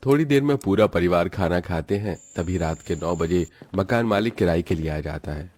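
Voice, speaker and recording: A man's voice: sits low, expressive, normal speed, a little mellow, soft background music. A man reading scripted, dramatic narration as a storyteller.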